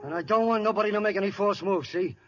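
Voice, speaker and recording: Tough wisecracking gangster, kinda high voice, menacing slang, dull old radio recording. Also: male voice, quick pace, fairly bright tone, slight background noise. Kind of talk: 1930s radio comedy acting.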